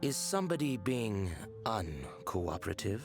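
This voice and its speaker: An actor voicing a cool, suspicious male villain in a game, formal and measured with veiled menace. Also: fairly low pitch, big pitch swings. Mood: coolly threatening. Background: game music.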